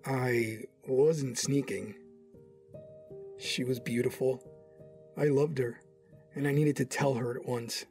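A smug, predatory Sith Lord in love played by a man narrates his own scene in an audio drama. The voice is medium in pitch, with soft music underneath. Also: fairly even intonation, takes it slowly, long pauses. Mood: amused, intent. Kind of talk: first-person audio drama narration and dialogue.

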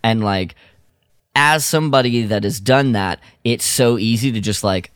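A man chatting casually on a fan podcast, rambling through his thoughts in an animated way. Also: fairly low pitch, a little slow, clean tone, dry recording, clean studio sound. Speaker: podcast panelist. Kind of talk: casual film-fan chat.

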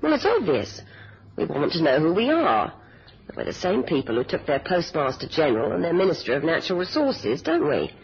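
An actor playing a greedy, determined kidnapper in a 1970s radio drama, husky-voiced, pressing and decisive. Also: medium pitch, wildly swooping pitch, brisk, exaggerated voice. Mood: impatient, decisive. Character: impatient, greedy, determined kidnapper plotting the big one. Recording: dull, lo-fi radio recording.

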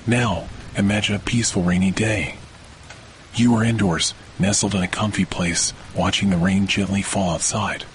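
A man narrating a scripted guided sleep meditation in a slow, soothing, deliberate way with long pauses. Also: deep voice, slight background noise. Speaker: podcast host, meditation guide. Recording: steady rain and thunder behind voice. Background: rainfall with thunder.

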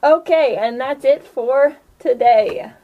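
Female game master, high voice, casual storytelling at the table, clearly noisy home-style recording. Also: slow, narrow, tinny audio.

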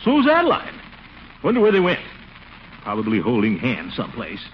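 A man's voice: mid-range voice, wildly swooping pitch, moderate pace. An actor playing a blustery, pompous middle-aged man with a jealous streak in a 1940s radio comedy, full of exclamations and mutters. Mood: indignant. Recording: noisy, muffled 1940s radio recording.

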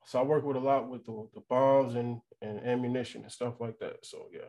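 Easygoing American man, medium pitch. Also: steady tone, brisk, creaky, warm tone. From New Jersey and Florida, United States. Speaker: podcast guest. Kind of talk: casual chat.

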